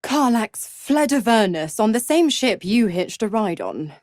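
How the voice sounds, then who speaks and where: A woman's voice: on the high side, sing-song, darkish tone, dry recording, studio quality. Silky, taunting she-devil, swooping delivery. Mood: smug. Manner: silky, condescending, theatrical, drawn-out taunting. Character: seductive, gloating female devil patron, cruelly teasing. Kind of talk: video game character voice acting.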